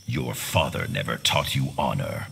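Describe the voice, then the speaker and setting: An actor playing a grave thunder god mentor in a video game, speaking in formal, weighty pronouncements. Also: male voice, rumbling low, animated, normal speed, creaky, heavy background noise, heavily acted. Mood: stern. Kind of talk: video game dramatic voice acting.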